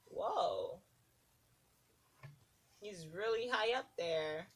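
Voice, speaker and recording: A woman preschool teacher reading a rhyming picture book aloud to young children in a sing-song, deliberate, expressive way. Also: medium pitch.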